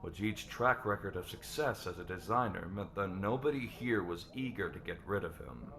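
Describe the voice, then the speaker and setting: A man's voice: low voice, animated, normal speed, a crowd in the background. A man playing a formal, businesslike company board chairman in an audio drama. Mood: measured.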